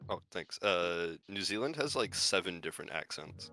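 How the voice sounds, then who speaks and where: A young man with a very deep voice that still rings bright and forceful. He rambles through his stories in casual chat, leaning on "like" and "um" as he goes. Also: expressive, normal speed, faint music behind. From Canada. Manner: rambling storyteller, full of "like" and "um". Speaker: podcast guest.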